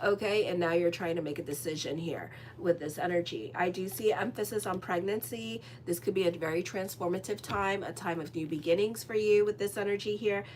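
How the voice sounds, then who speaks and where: A woman's voice: lowish voice, fairly quick, hardly any pauses, darkish tone, a little hiss or hum, poor audio. A woman doing a casual tarot horoscope reading as an astrologer, rambling and chatty with lots of fillers and a direct manner. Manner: frank and direct with listeners.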